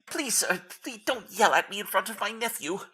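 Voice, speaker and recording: A man playing a nervous, downtrodden Victorian clerk in a comic audio drama. His voice is strained and bright, leaping up and down as he pleads. He stammers and apologises like someone afraid of his employer. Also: high-pitched, animated, moderate pace, breathy edge, heavily acted. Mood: pleading, fearful. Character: timid, fretful, put-upon male Victorian clerk.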